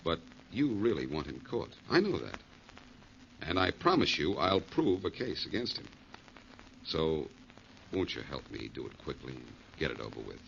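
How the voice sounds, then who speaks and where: An actor playing a shrewd, confident American detective in a 1940s radio drama, wry and insistent. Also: male voice, rumbling low, very animated, moderate pace, gravelly, a bit bright, heavily acted. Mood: firm, persuasive. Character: shrewd, confident male amateur detective, coolly needling. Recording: muffled, hissy 1940s radio recording.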